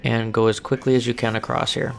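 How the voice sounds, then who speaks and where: A hoarse man giving calm step-by-step commentary through a stealth game walkthrough. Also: mid-range voice, fairly quick, soft background music. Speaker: gameplay walkthrough narrator.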